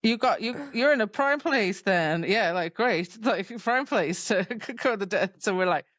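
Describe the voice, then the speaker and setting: A woman co-hosting a podcast, casually retelling book scenes in loose, halting phrases full of fillers. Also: mid-range voice, animated, fairly bright tone, no room sound, dull audio. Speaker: podcast co-host.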